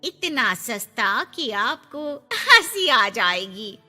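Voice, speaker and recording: A woman voicing a sly, flattering salesgirl in a cartoon story, with a teasing sales patter. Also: a little high, drawn-out words, big-room sound, soft background music, heavily acted. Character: sly, flattering, manipulative salesgirl in a salon shop.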